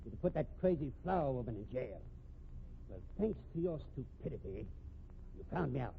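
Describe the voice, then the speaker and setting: An actor playing an indignant, defensive husband and killer, blustering and husky-voiced, in a 1950s radio crime drama. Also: male voice, mid-range voice, big pitch swings, a little fast, narrow, tinny audio, a little hiss or hum. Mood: menacing. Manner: blustering, defensive, stammers when pressed. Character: smooth-lying, indignant husband turned cornered killer. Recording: thin, band-limited 1950s radio recording.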